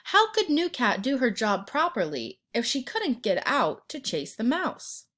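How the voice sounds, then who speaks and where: A woman narrating a children's picture book as an expressive read-aloud storyteller. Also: on the high side. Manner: pauses to ask the listener questions and point out pictures.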